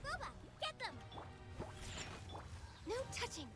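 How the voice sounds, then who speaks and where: A woman voicing a feisty, playful young girl fighter in an anime-style video game, with short punchy battle shouts. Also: very high-pitched, very animated, slow pace, heavily acted. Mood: fierce, playful. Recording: game audio under loud music.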